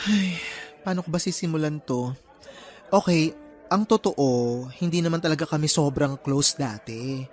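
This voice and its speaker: A man's voice: a little high, normal speed, soft background music, close to an everyday voice. A man playing a chatty, teasing young male friend in a Filipino radio drama, with a Filipino accent and colloquial, exclaiming delivery. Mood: hesitant, confiding.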